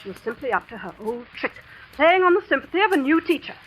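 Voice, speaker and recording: An actress playing a cold, domineering schoolmistress and sham clairvoyant in an old radio suspense drama, speaking with imperious, theatrical precision. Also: female voice, high-pitched, very animated, fast, a little hiss or hum, low-quality recording. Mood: cold, commanding. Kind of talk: 1940s-50s radio suspense acting. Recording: vintage radio recording with music.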